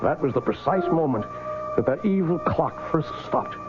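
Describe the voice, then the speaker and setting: Male actor as obsessive guilty widower, intense and swooping delivery, vintage radio drama, dulled audio under loud music. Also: on the high side, animated, moderate pace, highs cut off, natural voice. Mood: horrified realization. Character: brooding, obsessive clock-keeping widower, guilty and short-tempered. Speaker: narrator and lead character. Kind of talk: old-time radio drama acting and first-person narration.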